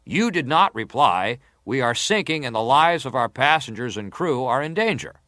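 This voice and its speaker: An actor playing a formal, persistent senator who questions a witness deliberately in a dramatised hearing. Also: male voice, medium pitch, expressive, pushed and bright, dry recording, clean studio sound. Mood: insistent, stern. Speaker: interrogator at a hearing. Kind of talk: dramatised reading of hearing testimony.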